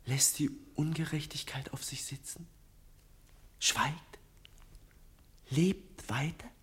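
An actor playing an indignant, idealistic teenage son in a German radio drama, impassioned and accusing, swinging between hush and outcry. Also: male voice, fairly high pitch, slow pace, in a whisper. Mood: stunned disbelief.

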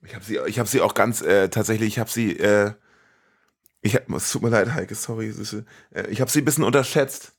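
Dry-sounding, lower-voiced man, playful podcast co-host. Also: a little fast, slightly rough, no room sound. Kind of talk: casual podcast chat.

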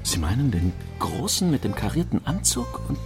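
An actor in a German radio drama playing an inquisitive gentleman, questioning and astonished, dining with a thief. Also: medium pitch, very animated, normal speed, vocal fry, over music. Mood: curious, surprised.

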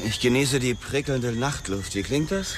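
A mid-pitched man acting as a rattled detective in a radio play. His speech is halting and uneasy, with clear ambient noise around it. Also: long reverb tail, natural voice. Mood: uneasy, evasive. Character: rattled male detective feigning ease while probing.

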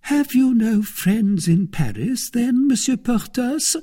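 A man puts on a lovesick, miserly wife from an old adventure storybook, pitched up high. She pleads and bristles with overwrought feeling, her lines swooping widely in a husky tone. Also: expressive, takes it slowly, dark tone, full character voice. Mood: anguished. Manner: pleading, indignant, emotionally overwrought. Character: lovesick, jealous, miserly married woman, a procurator's wife.

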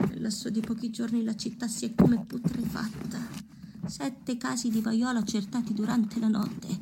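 An actress playing a prim, haughty young nurse, snobbish and defiant, in an Italian radio drama. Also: female voice, a little high, level tone, moderate pace, creaky, very noisy. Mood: defiant, disgusted.

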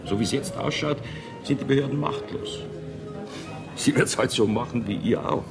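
An actor playing a stolid, officious police president in a radio play, lecturing and unhelpful. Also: male voice, medium pitch, normal speed, gravelly, lightly acted. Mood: officious, unmoved. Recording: 1970s radio play, loud music behind.

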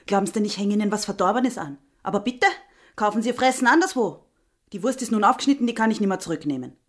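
An actress playing a brusque, easily offended innkeeper's daughter in a radio drama, sharp-tongued, with an Austrian accent. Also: female voice, mid-range voice, expressive, fast, close to an everyday voice. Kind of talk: German-language radio drama acting.

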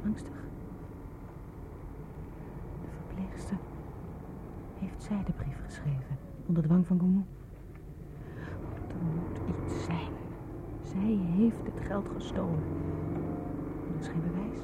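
A woman playing an anxious, determined truth-seeker in a radio drama, by turns pressing and hushed. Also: on the low side, slow, pauses often, background noise. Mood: anxious, brooding. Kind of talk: radio drama acting with intimate interior monologue.

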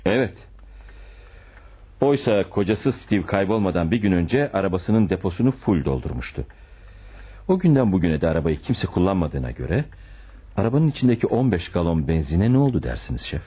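An actor playing a deferential but shrewd police commissioner in a radio play, respectful and probing in manner. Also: male voice, low-pitched, big pitch swings, normal speed, mellow, husky, exaggerated voice. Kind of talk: Turkish radio theatre acting.

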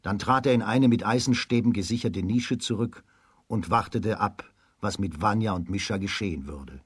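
A man giving measured, scripted storytelling narration for a children's radio drama. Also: lowish voice, husky.

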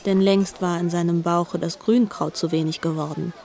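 A woman's voice: fairly low pitch, steady tone, moderate pace, darkish tone. A woman narrating scripted literary prose as part of a radio drama.

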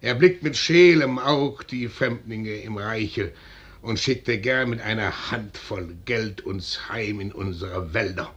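An actor playing a guarded, stern Swedish envoy colonel in a classic German radio play, declaiming formally. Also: male voice, lowish voice, a bit bright, husky, heavily acted. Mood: proud, aggrieved. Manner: formal, measured declamation, rising to stern insistence.

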